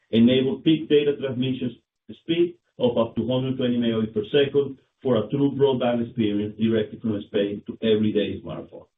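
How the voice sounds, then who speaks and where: A male company executive reading scripted financial results on an earnings call in a formal, somewhat halting manner. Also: medium pitch, far from the mic. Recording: muffled phone line, roomy, clicks, noise.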